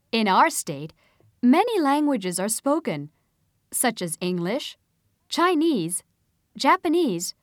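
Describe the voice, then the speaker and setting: A woman reading a scripted passage aloud for a listening test, with careful, clearly spaced delivery into a close studio mic. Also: on the high side, no echo.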